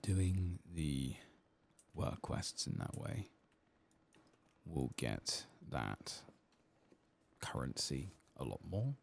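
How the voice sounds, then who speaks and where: A man with a very low, creaky voice gives soft ASMR gaming commentary. He rambles casually to himself, with pitch that moves around freely, over faint noise and clicking. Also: sing-song, drawn-out words, pauses often. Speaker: streamer playing a video game.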